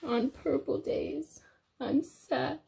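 A young woman reading a picture book aloud to small children, expressive and sing-song, explaining things simply as a teacher would. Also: high-pitched, slow pace, creaky. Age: young adult. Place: small indoor room.

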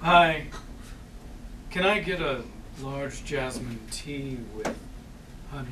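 A man's voice: medium pitch, slow, close to an everyday voice. A man in a comedy table read playing a laid-back, reassuring firefighter boyfriend. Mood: casual. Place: small theatre stage, seated before an audience. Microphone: far camera. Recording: very noisy, distant stage recording.

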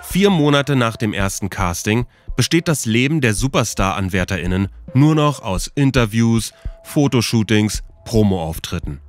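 Low-voiced man narrating over music. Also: animated, normal speed, hardly any pauses. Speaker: podcast narrator. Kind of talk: scripted documentary narration.

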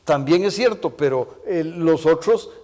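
A man in his sixties hosting a televised interview, speaking in a deliberate way with long hesitations. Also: on the high side, lively intonation, a little mellow. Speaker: interview show host. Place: brick-walled indoor room, television set. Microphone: lapel mic. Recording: reverberant room echo.

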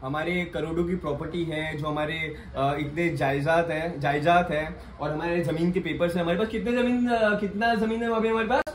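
Young male vlogger, higher pitch, playful and teasing, phone-mic selfie sound. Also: fairly even intonation, normal speed, few pauses, slight background noise. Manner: playful, joking, mixes Hindi and English. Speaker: vlogger host. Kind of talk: casual house-tour chat. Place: apartment rooms indoors. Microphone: phone at arm's length.

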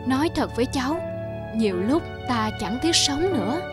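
A woman voicing a bitter, despairing old grandfather in an animated drama, gloomy and resigned. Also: high-pitched, normal speed, over loud music.

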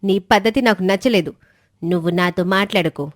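A woman's voice: mid-range voice, normal speed, no room sound, clean studio sound, lightly acted. A woman voicing a hot-tempered, arrogant schoolboy in a children's moral story. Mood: scolding, scornful.

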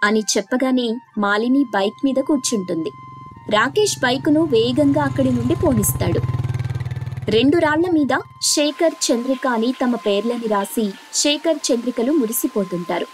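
A woman's voice: fairly high pitch, moderate pace. A woman storyteller reading scripted narration. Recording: faint music bed. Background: faint background music.

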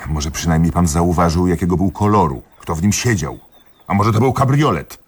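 A man's voice: sits low, animated, moderate pace, slightly rough. A man acting in a radio play as a dry, pedantic police commissioner, questioning methodically and insistently with a sardonic edge. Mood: probing.

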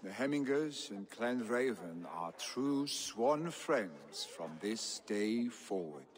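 A man's voice: lowish voice, big pitch swings, slow. An actor playing a grave male clan leader in a video game who formally swears friendship between two families. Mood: earnest. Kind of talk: video game cutscene acting.

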